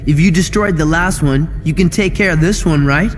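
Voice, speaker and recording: A man's voice: a little high, moderate pace, a little hiss or hum, close to an everyday voice. Man as a cocky, sarcastic teen tough guy. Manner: dry, flippant.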